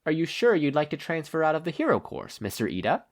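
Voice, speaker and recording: A man's voice: a little high, huge pitch swings, fast talker, pitched above the performer's own voice. A man voicing a kindly, concerned school principal with a polite, formal manner in a story reading. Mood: gentle concern.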